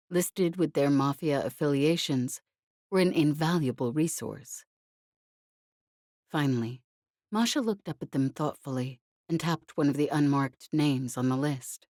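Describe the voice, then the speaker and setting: Woman reading an audiobook, low soft-edged mellow voice, super dry recording. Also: lively intonation, a little slow, no echo. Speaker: audiobook narrator. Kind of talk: scripted audiobook narration of a novel.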